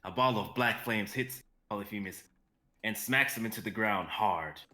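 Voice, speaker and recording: A young man reads stage directions as narrator. His voice is low yet bright and forceful, shaped for dramatic script narration. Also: normal speed. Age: young adult. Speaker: narrator and reader.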